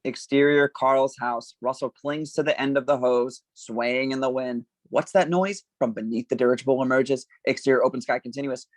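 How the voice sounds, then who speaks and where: A man's voice: medium pitch, steady tone, normal speed, hardly any pauses, no room sound. Man narrating stage directions, dry sound. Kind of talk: scripted narration at a table read.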